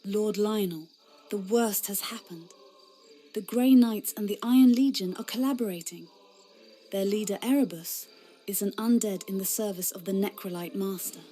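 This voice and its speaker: Woman as earnest rookie soldier, soft-toned voice. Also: medium pitch, moderate pace, lightly acted. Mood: grave. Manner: earnest, deferential. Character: dutiful young female rookie soldier, respectful and earnest. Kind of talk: dramatic video game cutscene acting.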